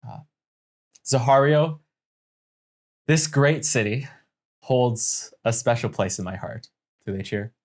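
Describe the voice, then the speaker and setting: A man playing a tabletop game, improvising in-character speeches in a halting, theatrical way. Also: mid-range voice, lively intonation.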